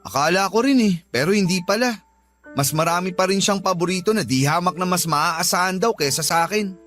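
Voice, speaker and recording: A sullen young Filipino working man in a radio drama. His voice sits high for a man, plaintive and discouraged, grumbling like a son talking back. Soft music plays behind him. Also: fairly quick. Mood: dejected. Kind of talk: Tagalog radio drama acting.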